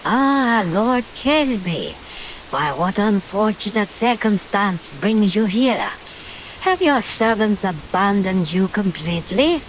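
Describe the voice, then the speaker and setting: A woman's voice: medium pitch, expressive, slow pace. A British woman playing a haughty, power-hungry witch in a horror-comedy radio play, dramatic and sardonic, with a British accent. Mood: gracious. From Britain. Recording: hissy track with rain noise. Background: rain and hiss.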